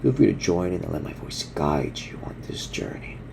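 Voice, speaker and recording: A man voicing a laid-back gorilla surfer dude who teaches in slang, in a gravelly, breathy half-whisper for an ASMR parody. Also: low-pitched, expressive, moderate pace, noisy background, exaggerated voice. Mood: laid-back, encouraging. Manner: surfer slang, soothing instructional delivery.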